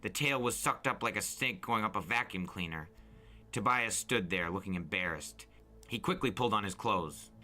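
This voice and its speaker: Bright, low-voiced male storyteller. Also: moderate pace. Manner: expressive, suspenseful first-person reading. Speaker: narrator and reader. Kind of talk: dramatic audiobook-style storytelling. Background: music bed.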